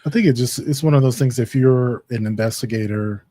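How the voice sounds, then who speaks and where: Male panelist, mid-pitched, casual and chatty, dry mic. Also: brisk, dark tone, no echo. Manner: agreeable, chatty, filler words. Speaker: guest co-host.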